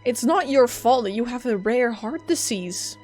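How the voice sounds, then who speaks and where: A woman's voice: a little high, expressive, moderate pace, a little mellow. Casual woman streamer over game music. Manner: informal, reacting aloud. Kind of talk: casual gameplay commentary.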